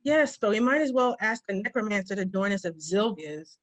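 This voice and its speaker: A woman's voice: mid-range voice, animated, fairly quick, close to an everyday voice. A woman acts out a fussy orc with artistic pretensions in a comic fantasy read-through. The voice sits mid-range and has a prim, easily frazzled manner. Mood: huffy. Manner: prim, fretful. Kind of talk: fantasy comedy table read.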